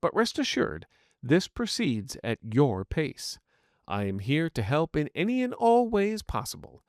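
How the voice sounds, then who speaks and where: A man's voice: fairly high pitch, huge pitch swings, unhurried, dry recording, clean studio sound, exaggerated voice. A man voicing a polite, earnest artificial intelligence medical assistant in an audio story, speaking formally and courteously. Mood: reassuring. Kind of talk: scripted audiobook narration in character.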